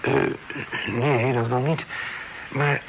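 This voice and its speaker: An actor playing a polite, hesitant young gentleman, a dutiful nephew in a radio drama. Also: medium pitch, fairly even intonation, slow. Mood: uneasy. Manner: courteous, hesitant. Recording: noisy old radio play recording.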